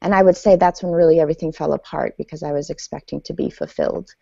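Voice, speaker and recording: A woman in her forties from Iran, a native Farsi speaker, telling her life story as a guest in a podcast interview in a measured, reflective way with frequent ums. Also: low voice, creaky, dark tone, dry recording. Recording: dull lo-fi sound.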